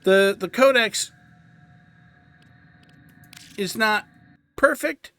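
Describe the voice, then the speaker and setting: A man giving casual tutorial commentary on a video game, explaining things in deliberate, paused phrases. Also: sits high, sharp tone, clean tone. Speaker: gaming guide host.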